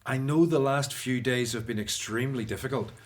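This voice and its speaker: An actor playing an earnest, devout Hebrew prophet and leader in a radio Bible drama, with a solemn, declamatory manner. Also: male voice, mid-range voice, brisk, close to an everyday voice. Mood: solemn, pleading.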